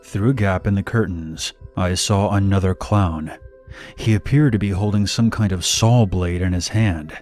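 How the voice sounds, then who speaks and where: Male horror narrator, lowish voice, scripted first-person storytelling, music underneath. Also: moderate pace, darkish tone. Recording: music bed behind narration. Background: background music.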